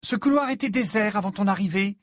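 A fiery teenage sister in an audiobook, played by a man. The voice is pushed very high and a little rough, swinging sharply as it shouts. It is mocking, defiant and emphatic. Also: big pitch swings, moderate pace, no room sound, clean studio sound. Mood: annoyed.

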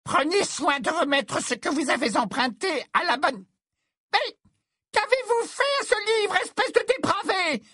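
A man voicing a severe old female school librarian, shrill and hissing, in a storybook reading. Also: lively intonation, a little slow, pushed and bright, no room sound, studio quality, heavily acted. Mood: scandalized. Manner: scandalized, severe.

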